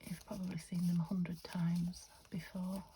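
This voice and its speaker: A woman from the UK chatting through a craft-along, thinking aloud and often dropping to a half-whisper, her voice a little croaky. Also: on the low side, flat delivery, moderate pace, warm tone, slight background noise. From United Kingdom. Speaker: craft vlogger. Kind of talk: casual craft-along chat.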